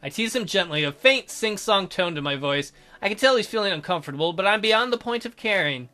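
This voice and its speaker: High, bright-voiced man narrating a story. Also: lively intonation, a little fast. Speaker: let's play narrator. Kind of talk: dramatic reading of visual novel narration.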